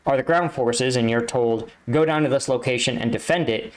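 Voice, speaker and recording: A man's voice: mid-range voice, moderate pace, low-quality recording. Casual man explaining and critiquing. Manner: exasperated, explanatory, rhetorical "right?" tags. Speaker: reaction video host, army combat veteran.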